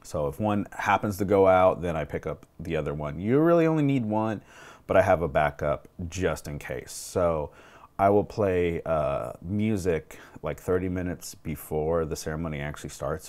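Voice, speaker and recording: A man's voice: rumbling low, a little mellow. A man in his thirties on a lapel mic indoors, casually talking a client through things in an informal, explanatory way as a DJ. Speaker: wedding DJ consulting with clients. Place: home room at a table, indoors.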